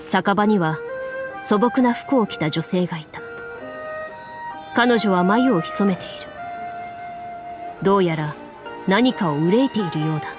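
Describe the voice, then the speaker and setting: A woman playing a composed game master who narrates a fantasy tale in a measured, formal way. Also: medium pitch, lively intonation, close to an everyday voice. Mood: composed, solemn. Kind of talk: scripted dramatic narration. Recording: music under the voice.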